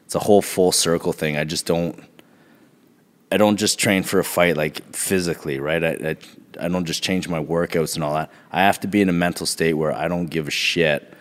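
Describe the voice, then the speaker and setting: A man co-hosting a podcast in casual chat, his voice raspy from a sore throat, rambling in a laid-back way. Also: deep voice, fairly even intonation, brisk, big-room sound. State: sore throat from being choked. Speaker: podcast co-host.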